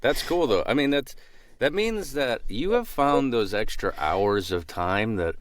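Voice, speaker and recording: A man's voice: mid-range voice, very animated, unhurried, dry recording. A man co-hosting a podcast, putting questions to a guest in a casual chat. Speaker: podcast co-host, interviewer.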